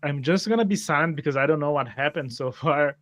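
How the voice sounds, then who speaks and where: A man's voice: on the high side, a little fast, a bit bright. A man who plays tabletop games, explaining himself in casual conversation with his group. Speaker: tabletop roleplay player.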